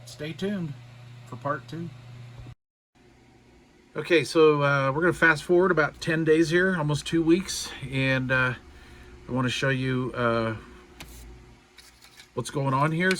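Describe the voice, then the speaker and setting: A man's voice: on the high side, a little slow, pauses often, fairly bright tone. Middle-aged man, casual hobbyist walkthrough, faint hum. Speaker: DIY radio hobbyist presenter. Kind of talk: casual unscripted project walkthrough.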